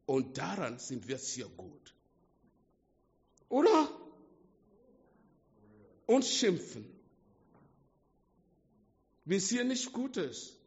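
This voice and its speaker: Man, non-native German accent, emphatic sermon, unhurried delivery, lo-fi reverberant hall. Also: high voice, wildly swooping pitch. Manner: slow, emphatic preaching with swings between hushed and loud. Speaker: preacher. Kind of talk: sermon to a small congregation. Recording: dull lo-fi sound with long hall reverb.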